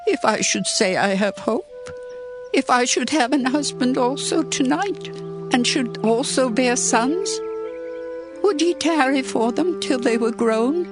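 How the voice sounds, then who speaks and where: Woman acting a slow-spoken, sorrowful older widow. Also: high-pitched, over music. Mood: sorrowful pleading. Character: grieving, bitter older widowed mother-in-law. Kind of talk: dramatized scripture reading.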